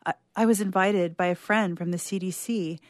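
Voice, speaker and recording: A woman playing an uneasy doctor who recounts events in the first person, reading a suspense story in a measured, solemn way. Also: lowish voice, steady tone, no room sound, studio quality, lightly acted. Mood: grave, uneasy. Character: uneasy, secretly sinister doctor testifying as sole survivor.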